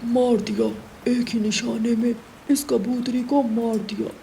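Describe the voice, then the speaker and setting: A cruel and greedy hunter from a cartoon tale, acted by a woman. The voice is fairly high and gloating, with faint storm sounds behind. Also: level tone, measured pace, creaky, dark tone, slight background noise, rough recording. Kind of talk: Hindi animated story dubbing.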